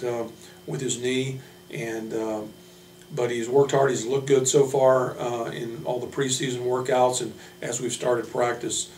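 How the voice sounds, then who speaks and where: A man speaking as a basketball coach, giving informal player introductions in a measured, hesitant way with frequent pauses. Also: mid-range voice, a little mellow. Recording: roomy, distant mic, faint hum.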